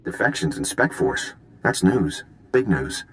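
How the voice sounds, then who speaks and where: Deep-voiced, wry intelligence agent. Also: male voice, very animated, unhurried, fairly bright tone, full character voice. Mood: measured, conversational. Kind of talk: video game voice acting.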